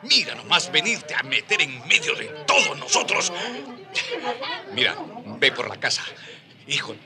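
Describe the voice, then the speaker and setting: A man's voice: mid-range voice, big pitch swings, a little slow, music in the background. An actor in a radio drama playing a harried, vainglorious conquistador father, commanding and urgent. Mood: flustered.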